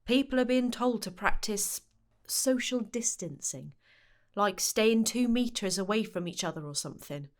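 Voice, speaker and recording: A woman's voice: mid-range voice, expressive, close to an everyday voice. A woman playing a plain-spoken, kindly village shopkeeper in an audio story, talking informally. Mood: matter-of-fact. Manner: unhurried, informal, colloquial. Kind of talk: acted dialogue in audio story.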